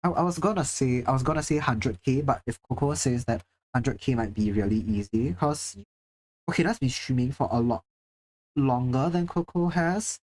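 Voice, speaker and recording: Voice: medium pitch, expressive, moderate pace, a little mellow, low-quality recording. A livestreamer from Singapore chatting casually with viewers, chatty and self-deprecating, swearing now and then. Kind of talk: casual live-stream chat, reading chat.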